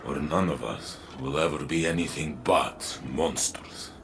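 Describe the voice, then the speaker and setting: An actor playing a proud, remorseful veteran warrior in a video game, delivering a solemn monologue in short, deliberate phrases. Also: male voice, a bass voice, background noise. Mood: earnest, resolved. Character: brooding male veteran Titan warrior, proud, remorseful, protective. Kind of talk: dramatic video game cutscene acting.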